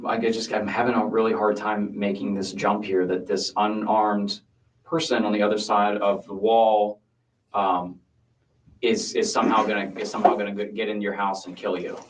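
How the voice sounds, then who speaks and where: A male homicide investigator questioning a suspect in a police interview, haltingly repeating words as he reasons. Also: lowish voice, level tone. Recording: distant interrogation room microphone.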